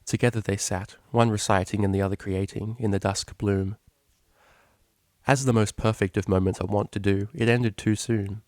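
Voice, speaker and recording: Male story narrator, lowish register, recorded dry and close. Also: steady tone, normal speed, darkish tone, no room sound. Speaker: narrator, fan fiction reader.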